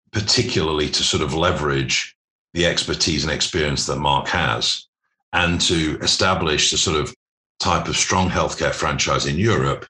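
A man speaking as an interview guest, explaining business matters in measured, corporate phrasing. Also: rumbling low, pushed and bright. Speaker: podcast guest, private equity investor.

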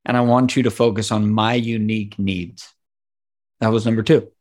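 Low-voiced man hosting a podcast. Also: fairly even intonation, normal speed, darkish tone. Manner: thoughtful, hesitant restarts, enthusiastic. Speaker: podcast host. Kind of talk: podcast interview.

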